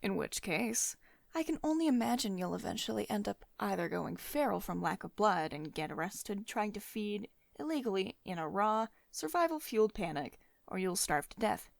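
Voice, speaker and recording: A close, dry recording of a powerful female CEO, acted by a woman in an ASMR roleplay. She is cool, possessive and teasing, with a mid-pitched voice. Her delivery is measured and condescending, coaxing the listener as someone used to getting her way. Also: animated, dry recording. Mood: businesslike, insistent. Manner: measured, condescending pet names, drops into breathy whispers. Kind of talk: ASMR roleplay, intimate monologue to one listener.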